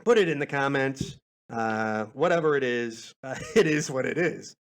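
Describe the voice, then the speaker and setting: A man's voice: medium pitch, lively intonation, moderate pace. A man hosting a podcast in rambling casual chat, self-deprecating and prone to swearing. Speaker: podcast host.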